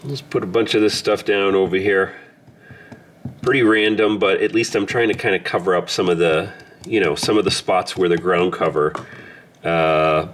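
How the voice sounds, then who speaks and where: A man's voice: deep voice, slight background noise. A man from Southern California with a congested voice from the flu, chatting casually and rambling with many fillers while working on his model railroad layout at home. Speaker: model railroad hobbyist host. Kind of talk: casual hobby vlog.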